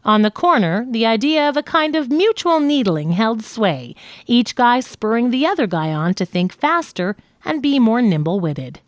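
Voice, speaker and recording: A woman reading a scripted nonfiction audiobook as its narrator, in a measured, articulate manner. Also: a little high, big pitch swings, no room sound, clean studio sound.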